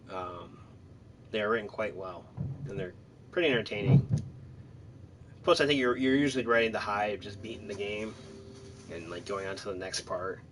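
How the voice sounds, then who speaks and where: A man's voice: lowish voice, noisy background. A man giving casual, rambling commentary on his own video game playthrough, thinking aloud as he goes. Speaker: gamer narrating own playthrough.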